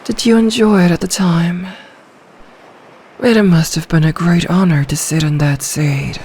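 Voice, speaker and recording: A woman playing an ancient, possessive sea dragon in human form for an ASMR roleplay, speaking in a regal, seductive, deliberate manner. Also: on the low side, steady tone, darkish tone, slight background noise, natural voice. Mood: musing, coaxing.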